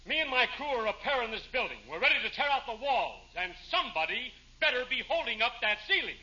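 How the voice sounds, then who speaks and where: A bossy tough guy barks slangy orders in a very high-pitched voice. It is 1940s radio comedy, echoey and buried in heavy noise. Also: big pitch swings, fast talker. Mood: bossy, threatening. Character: bossy tough-guy workman who sounds like a holdup man. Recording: very noisy, muffled, echoey old radio recording.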